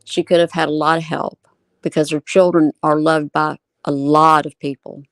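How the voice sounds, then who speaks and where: A woman speaking earnestly and insistently in a measured, unscripted live discussion. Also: deep voice, level tone, no echo.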